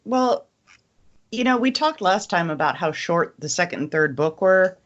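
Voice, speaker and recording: A woman's voice: lowish voice, expressive, normal speed. Woman chatting on a slightly muffled recording. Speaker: podcast panelist. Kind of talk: casual book discussion.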